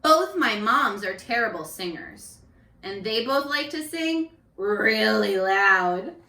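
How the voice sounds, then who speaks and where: A woman reading a picture book aloud to young children in an expressive, instructive way, speaking to them directly as a teacher. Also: mid-range voice, takes it slowly. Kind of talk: read-aloud for young children with questions to the listeners. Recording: echoey room, distant microphone.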